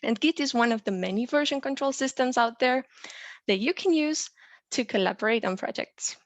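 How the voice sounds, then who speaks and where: A young adult woman from Romania, a software engineer, presents a tutorial in a clear, explanatory way with frequent "uh" and "um" fillers. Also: fairly high pitch, expressive, moderate pace, no echo. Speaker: software engineer teaching a lesson.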